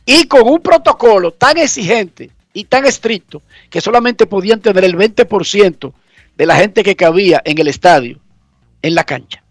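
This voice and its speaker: A man's voice: high-pitched, animated, moderate pace, no echo, studio quality. A man hosting a radio show from his home garage, talking chattily and emphatically while congested with a cold. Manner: chatty, emphatic, explains things repeatedly. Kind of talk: casual radio talk.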